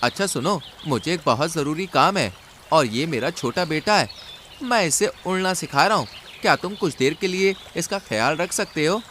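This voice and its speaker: A trusting cartoon father pigeon, played by a man, pitched up and earnest. He speaks expressively with lively swings, as someone easily upset. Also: moderate pace, slight background noise. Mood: friendly concern.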